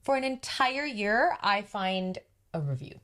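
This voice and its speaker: A woman with a deep voice, co-hosting a podcast and telling a story in casual chat while acting out the quoted dialogue. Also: big pitch swings, a little slow, a bit bright. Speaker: podcast co-host, business owner.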